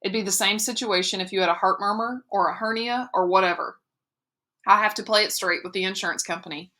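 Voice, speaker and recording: An awkward middle-school soccer coach, halting and stiff as he cites rules, then quietly sorry, in a woman's reading. Bright-toned and restrained rather than gruff. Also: mid-range voice, close to an everyday voice. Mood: apologetic. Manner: halting, bureaucratic, then softly apologetic.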